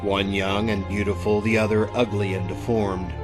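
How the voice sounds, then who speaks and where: A man narrating a scripted old-time radio drama with suspenseful, deliberate emphasis. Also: fairly low pitch, level tone. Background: music.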